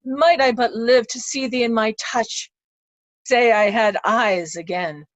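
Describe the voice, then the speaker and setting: A woman playing a despairing, blinded old nobleman, grave, halting and lamenting, in a Shakespeare reading. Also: on the high side, no room sound, lightly acted. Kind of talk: remote readers' theatre Shakespeare performance.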